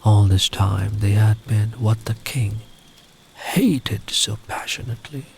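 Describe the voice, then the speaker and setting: A man telling a story in a gentle ASMR style, soft and soothing, often slipping into a breathy near-whisper. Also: on the low side, faint music behind. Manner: soothing, unhurried, tender.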